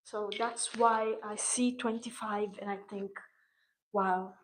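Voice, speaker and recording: A woman's voice: medium pitch, fairly even intonation, takes it slowly, low-quality recording. A woman in her mid-twenties speaking in a personal vlog monologue, earnest and rambling, restarting her sentences often, with small grammar slips. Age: 20s. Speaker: vlogger.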